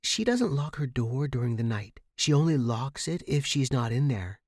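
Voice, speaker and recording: A man's voice: medium pitch, expressive, moderate pace, darkish tone, no echo, studio quality, natural voice. A man voicing a persuasive young woman, insistent and conspiratorial, in a horror story. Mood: reassuring. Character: daring, persuasive young woman writer, scheming friend.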